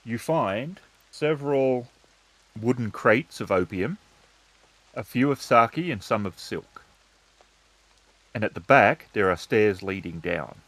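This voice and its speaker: A man narrating as storyteller of a tabletop game, measured and matter-of-fact. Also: lowish voice, lively intonation, a bit bright, dry recording, rough recording.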